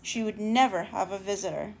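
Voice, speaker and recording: A woman reading a children's storybook aloud, expressively, with deliberate dramatic emphasis and the odd stumble over words. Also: mid-range voice, darkish tone, rough recording.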